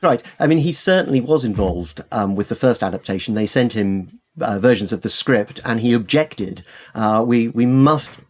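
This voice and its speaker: A man's voice: medium pitch, lively intonation, moderate pace. A man speaking as an expert interview guest, explaining things enthusiastically with hesitations and emphatic repetitions. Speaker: literary expert guest, author and editor. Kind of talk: conversational interview.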